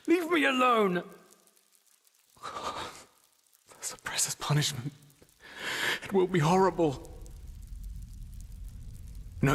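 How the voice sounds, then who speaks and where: An actor playing a tormented, bitter and fatalistic man in a fantasy game, with an anguished, halting delivery. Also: on the high side, fairly bright tone, slightly rough, exaggerated voice. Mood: fearful. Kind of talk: dramatic fantasy game acting. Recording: long hall reverb with noise.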